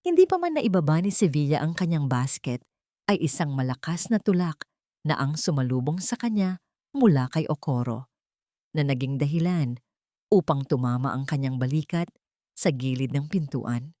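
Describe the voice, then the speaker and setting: Animated, lowish-voiced woman narrator. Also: normal speed, dry recording, clean studio sound. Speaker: story narrator. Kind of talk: dramatic scripted audio story narration.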